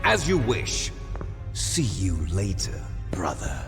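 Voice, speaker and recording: An actor playing a commanding, cunning terrorist leader in a video game, with a theatrical, taunting, authoritative delivery. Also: male voice, low voice, wildly swooping pitch, slow pace, full character voice. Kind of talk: video game cutscene voice acting. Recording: reverberant hall sound under music.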